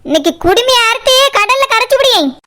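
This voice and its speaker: A woman's voice: extremely high pitch, animated, fairly quick, very noisy. A woman voicing a hot-tempered, bossy house owner in a comedy cartoon, scolding and threatening in Kanyakumari Tamil. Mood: angry, scolding.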